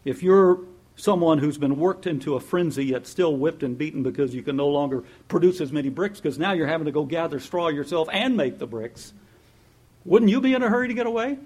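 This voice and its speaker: A man preaching a sermon in a lively, conversational way, often laughing and acting out what people might say. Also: on the high side, a little fast, breathy edge. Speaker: pastor.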